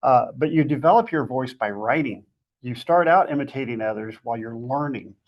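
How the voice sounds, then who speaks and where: A man with a gravelly, husky voice giving conversational advice as a guest author, in a plain-spoken way with short, emphatic summings-up. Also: medium pitch, a little fast, dull audio.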